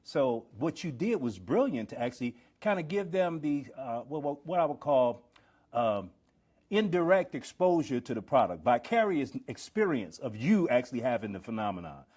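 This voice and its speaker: A man's voice: mid-range voice, very animated, moderate pace, a little mellow. A man hosting a podcast interview, encouraging his guest and asking long, wordy questions. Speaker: podcast host.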